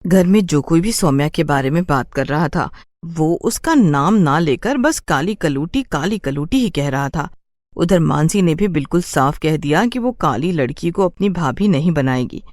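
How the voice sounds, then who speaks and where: Woman storyteller, even narration, dry close mic. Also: low voice, normal speed, no echo.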